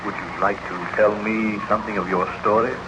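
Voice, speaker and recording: An actor playing a sober, doubting sea captain with a formal, stagey delivery in 1930s radio drama style. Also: male voice, lowish voice, fairly even intonation, fairly quick, lightly acted. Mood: doubtful, stern. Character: sober, skeptical sea captain hearing a confession. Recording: dull, noisy old 1930s radio recording.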